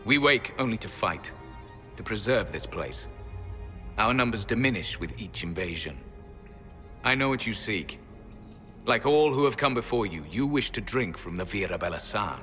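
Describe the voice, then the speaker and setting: An actor voicing a proud, weary ancient elf guardian in a video game, speaking in stern, formal, archaic phrases. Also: male voice, fairly low pitch, expressive, normal speed, sharp tone. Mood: stern, solemn. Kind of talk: dramatic video game acting. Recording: long hall reverb, music underneath.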